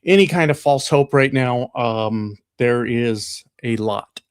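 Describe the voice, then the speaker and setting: A man's voice: mid-range voice, slow, smooth, dry recording, clean studio sound. An American man co-hosting a political livestream, talking loosely with lots of swearing, ums and self-mocking laughs. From United States. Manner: loose and chatty, swears freely, laughs at himself, many ums. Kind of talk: casual political chat.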